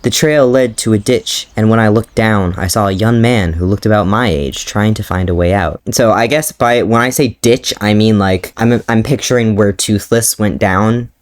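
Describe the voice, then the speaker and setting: A man's voice: on the low side, moderate pace, hardly any pauses, no echo. A man doing a dramatic reading of his old fanfiction, breaking off into amused, self-mocking commentary and laughter.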